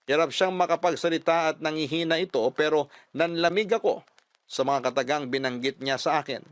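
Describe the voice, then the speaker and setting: A Filipino man tells a scripted story as a radio drama narrator. His voice sits a little high, with dramatic delivery, and the studio sound is dry and close. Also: brisk, dry recording.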